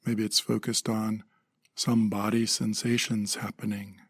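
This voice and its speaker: A man guides a meditation calmly, leaving pauses between short phrases. His voice is low and very husky with a lot of creak, and it stays even. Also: no room sound, studio quality. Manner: calm, gentle, measured phrasing with pauses.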